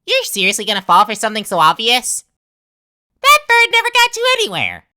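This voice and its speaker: Scheming flower villain, game dub: very sharp, sarcastic, hugely animated fake best friend. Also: female voice, on the high side, fairly quick, exaggerated voice. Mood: coaxing, scornful. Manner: taunting, sarcastic, theatrical. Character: manipulative, two-faced talking flower posing as best friend. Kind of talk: dramatic game fan dub.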